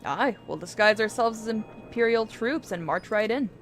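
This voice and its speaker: A woman's voice: a little high, animated, moderate pace, a little hiss or hum. A woman game streamer reading video game dialogue aloud in character, with casual asides. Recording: music behind the voice.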